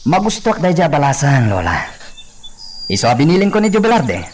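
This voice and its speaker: A man's voice: a little high, wildly swooping pitch, fairly quick, a little mellow. A man playing a cocky, smitten young womaniser in an Ilocano radio drama. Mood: smug, scheming. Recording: faint noise and crickets behind.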